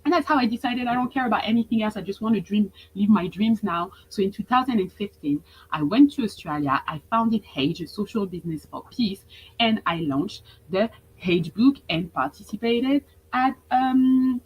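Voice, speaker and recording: A woman's voice: mid-range voice, expressive, normal speed, husky, poor audio. A thirty-year-old woman, a business coach giving a motivational webinar and repeating phrases for emphasis. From France.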